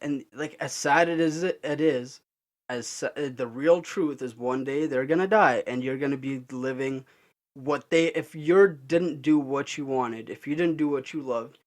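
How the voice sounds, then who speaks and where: A young man co-hosting a podcast, making his points in an animated, opinionated way and laughing easily. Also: mid-range voice, unhurried. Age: young adult. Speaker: podcast co-host. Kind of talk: casual chat.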